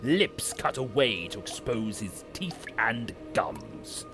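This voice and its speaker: An actor playing a domineering male pastor who speaks in a grave, commanding and threatening way, with a gravelly voice. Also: mid-range voice, very animated, slow pace, over music. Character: domineering male pastor of a grim city chapel.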